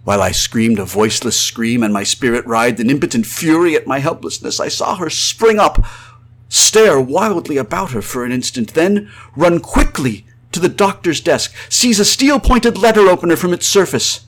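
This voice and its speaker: A man's voice: on the high side, animated, moderate pace. Theatrical, anguished ghost of a man, acted out. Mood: rising anguish. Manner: theatrical, florid, swelling from hushed to frantic cries. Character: anguished male spirit trapped outside his undead body. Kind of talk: old-fashioned melodramatic horror radio monologue.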